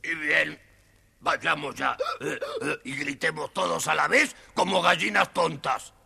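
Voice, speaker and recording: A man voicing an excitable, bossy donkey in a children's audio storybook, stammering "eh, eh" with braying laughs. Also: a little high, a little slow, creaky, exaggerated voice. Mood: scheming, eager. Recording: noisy old storybook record.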